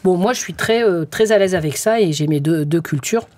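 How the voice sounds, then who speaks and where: A woman's voice: lowish voice, brisk, a little mellow. A woman in her forties from France, a guest conductor answering interview questions in an earnest, hesitant way with many fillers. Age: 40s. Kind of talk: casual interview talk.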